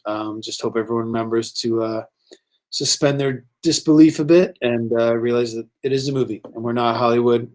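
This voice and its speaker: A man speaking as a guest on a live online panel, humble and halting as he gives earnest remarks. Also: medium pitch, darkish tone, poor audio. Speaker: film camera operator, panel guest.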